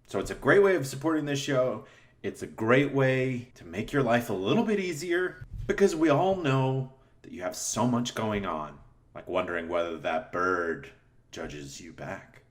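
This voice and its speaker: A man's voice: medium pitch, expressive, normal speed. A man doing a tongue-in-cheek, mock-earnest comedic sponsor read for a podcast. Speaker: host reading sponsor ad.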